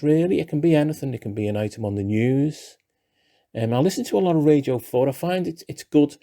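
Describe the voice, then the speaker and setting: A man in his sixties with a Liverpool accent, speaking in a relaxed, chatty way with dry self-deprecating humour. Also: mid-range voice, gravelly. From Liverpool, England. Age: 60s. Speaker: playwright. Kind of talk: relaxed talking-head interview.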